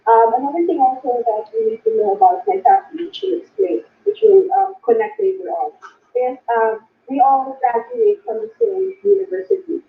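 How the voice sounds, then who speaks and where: A woman's voice: extremely high pitch, very animated, normal speed. A young Filipino woman telling her family story in a presentation, slipping Tagalog and Bisaya words into her English. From Philippines. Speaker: business recruiter sharing her story. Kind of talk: personal testimony presentation. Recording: echoey, distant, phone-like sound.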